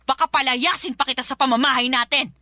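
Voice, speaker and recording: A woman's voice: high-pitched, steady tone, quick pace, close to an everyday voice. A woman playing a stern, suspicious, old-fashioned middle-aged mother who nags and accuses in a radio drama. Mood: scolding, outraged. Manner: sharp, accusing, nagging questions. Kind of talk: Filipino radio drama acting.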